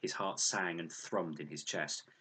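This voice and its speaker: A man reading a children's adventure novel aloud as an expressive, scripted narration. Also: sits low, unhurried, a little hiss or hum. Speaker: audiobook reader.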